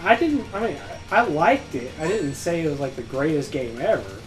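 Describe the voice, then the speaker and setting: A man's voice: a little high, animated, brisk, rough recording. A man chatting casually as co-host of a video game playthrough. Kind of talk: casual chat over gameplay. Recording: game music behind the voice. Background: video game music.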